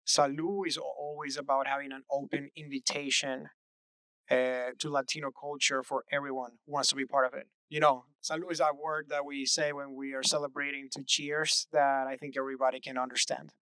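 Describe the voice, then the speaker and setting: Earnest young Venezuelan-born founder, crisp voice. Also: male voice, medium pitch, level tone, fairly quick, pushed and bright, dry recording, rough recording. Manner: earnest, reflective, frequent "uh" fillers. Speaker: startup co-founder, interview guest. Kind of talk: relaxed interview answers.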